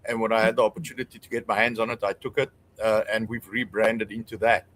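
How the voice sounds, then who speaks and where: A man's voice: lowish voice, little pitch movement, quick pace, a bit bright, a little hiss or hum, poor audio. A man with a South African accent, chatting casually as a guest in an easygoing, good-humored way. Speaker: guest, small business owner. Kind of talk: casual chat.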